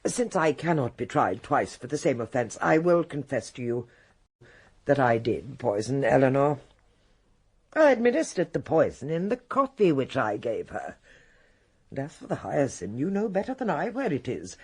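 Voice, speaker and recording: An actress playing a shrewd, eccentric old English lady in a radio drama, speaking precisely and deliberately with a husky voice. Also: very deep voice, big pitch swings, darkish tone, exaggerated voice. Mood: composed, triumphant. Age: older. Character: shrewd, eccentric old lady who coolly confesses to poisoning. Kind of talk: British radio drama acting.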